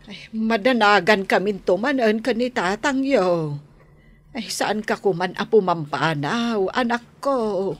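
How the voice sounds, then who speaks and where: A woman with an Ilocano accent playing a gentle, anxious elderly mother in a radio drama, tender and pleading. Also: mid-range voice, unhurried, lightly acted. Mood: worried, pleading. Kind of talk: Filipino radio drama acting.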